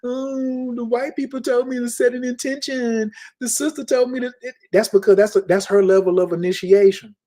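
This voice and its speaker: A man teaching in an emphatic, lecturing way on a casual podcast, repeating key words slowly. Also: very high voice, fairly even intonation, mellow. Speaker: spiritual teacher. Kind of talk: casual podcast talk, preaching.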